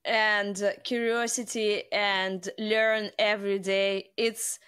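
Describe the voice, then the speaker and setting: A woman's voice: mid-range voice, flat delivery, slow, a bit bright, clean tone, no room sound, studio quality. A woman interviewer whose first language is not English, chatting agreeably and asking questions, with lots of "like" as filler. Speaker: interviewer, host. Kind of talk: conversational interview.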